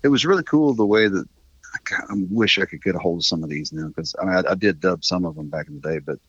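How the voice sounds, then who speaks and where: A man's voice: sits low, fairly bright tone, slightly rough, no room sound, lo-fi sound. A middle-aged American man reminiscing in a casual, rambling, conversational way as an interview guest. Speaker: interview guest, writer and musician.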